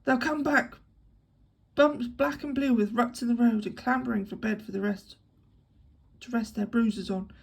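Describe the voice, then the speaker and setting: A woman's voice: on the high side, fairly even intonation, normal speed, creaky, long pauses, husky. A woman reading an audiobook, voicing a jovial man who teases an old friend in a hearty way. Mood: teasing. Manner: teasing, hearty.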